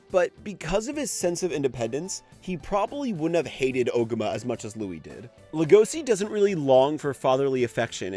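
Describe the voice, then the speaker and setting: A man with a slightly high, very animated voice delivers a video essay. His commentary is informal and emphatic, with light music behind him. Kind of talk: scripted commentary on an anime, conversational.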